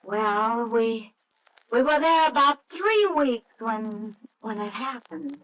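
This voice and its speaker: An actress playing an earnest, anxious young American wife in an old radio drama, hesitant and tender. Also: female voice, on the high side, expressive, slow, a bit bright. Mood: wistful, reflective. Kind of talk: old-time radio drama acting with narration. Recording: faintly noisy old radio recording.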